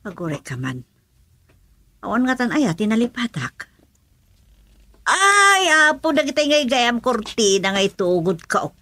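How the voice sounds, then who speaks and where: Fussy, grumbling housewife. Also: female voice, a little high, big pitch swings, unhurried, pauses often, pushed and bright, exaggerated voice. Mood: muttering. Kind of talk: domestic radio comedy-drama acting.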